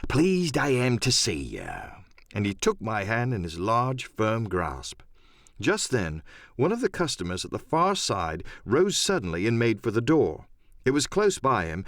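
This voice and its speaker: A man's voice: medium pitch, no room sound, clean studio sound. A man narrating an adventure audiobook in a dramatic first-person storytelling style.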